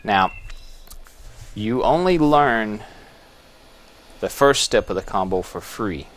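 Low-voiced man musing over gameplay. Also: slow pace, slight background noise. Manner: relaxed, musing, thinking aloud with small restarts. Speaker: gameplay video host. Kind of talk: casual gameplay commentary.

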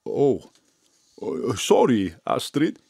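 A man's voice: medium pitch, wildly swooping pitch, takes it slowly, vocal fry, darkish tone, husky, dry recording, clean studio sound, heavily acted. Wildly swooping, clownish man. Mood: sheepish, protesting. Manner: broken English, frequent tag words. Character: excitable, smitten, clownish Greek man with broken English. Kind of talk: comic audio drama acting.

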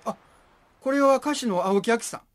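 Woman playing a chatty friendly sax player out shopping, radio drama, voice a bit high. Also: brisk, natural voice. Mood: friendly, curious. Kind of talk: scripted radio drama acting.

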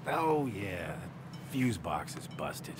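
An actor playing an awkward, kindly middle-aged backwoods handyman in a video game, halting, rambling and folksy. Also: low-pitched, big pitch swings, background noise, exaggerated voice. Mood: casual, awkward. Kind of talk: naturalistic video game acting.

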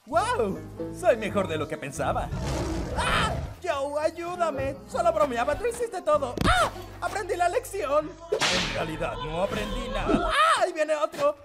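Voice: high-pitched, huge pitch swings, unhurried, full character voice. An actor voicing a boastful, cowardly boy outlaw in a cartoon dub, with Latin American Spanish, bragging and melodramatic. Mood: panicky, whiny. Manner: bragging, melodramatic, prone to yelping. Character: young boy gunslinger, boastful, cowardly, hapless would-be outlaw. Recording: loud music under the voice.

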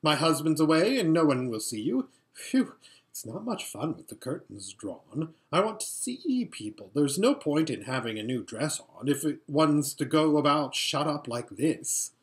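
A woman's voice: deep voice, very animated, normal speed. A woman voicing a vain, lazy, giggly young noblewoman in a storybook, with an affected drawl and gushing manner.

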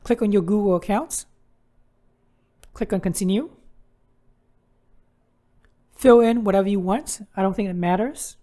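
A woman's voice: medium pitch, a little slow, long pauses, warm tone. A woman hosting a how-to tutorial, narrating instructions step by step. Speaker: tutorial host.

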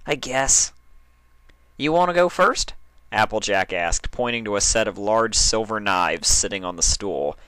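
A man's voice: lowish voice, pushed and bright, no echo. A man reading scripted fiction aloud as the narrator of a story.

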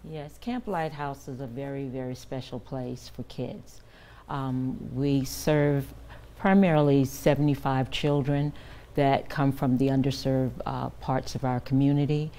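A woman's voice: very deep voice, steady tone, measured pace, mellow. An older woman guest in a TV studio interview, speaking earnestly and measuredly with frequent fillers, on a lapel mic. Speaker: guest, director of a children's camp. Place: TV news studio.

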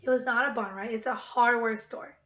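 Female primary-teacher type, high-pitched, reading to kids and repeating questions, muffled home recording with noise. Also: steady tone, fairly quick. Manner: repeats questions, addresses children as friends. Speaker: teacher reading aloud to young pupils. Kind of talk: children's read-aloud with questions to pupils. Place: living room at home, indoors. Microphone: webcam at about arm's length. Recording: dull webcam sound with clear noise.

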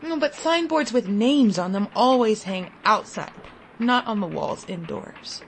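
A woman narrating a literary short story in a deliberate, expressive, dramatic way with long pauses. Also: on the high side, a little hiss or hum. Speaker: audiobook story reader.

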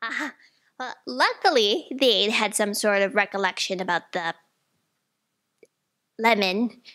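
A woman's voice: medium pitch, slow pace, fairly bright tone. A young woman playing an earnest, insistent junior underling in a staged audio drama. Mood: explaining. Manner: theatrical, insistent. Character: earnest, urgent, frank female junior underling. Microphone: stand microphone on a theatre stage.

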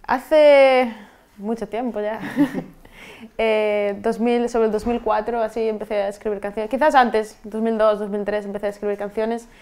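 A woman, answering interview questions in a relaxed way with frequent restarts, recorded in a studio on a lapel mic. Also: a little high, normal speed. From Vigo, Galicia, Spain. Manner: relaxed, many restarts and trailing-off endings. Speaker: guest rapper and software engineer. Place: TV studio set.

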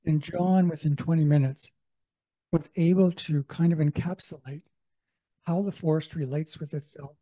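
A man's voice: fairly high pitch, steady tone, moderate pace, dark tone. A man from Canada sharing a story from the floor of a panel discussion in a conference hall, enthusiastic and anecdotal. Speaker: audience member. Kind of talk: comment from the floor.

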